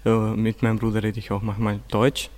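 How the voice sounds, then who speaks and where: A man's voice: fairly low pitch, monotone, brisk, a little mellow. A teenage boy, answering hesitantly with many fillers. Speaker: student.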